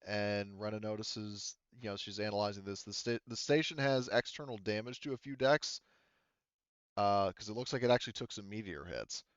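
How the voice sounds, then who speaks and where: A man improvising narration as game master in a conversational way, halting as he searches for words and casually profane. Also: fairly low pitch, smooth, dry recording, highs cut off. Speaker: game master of a tabletop roleplaying game.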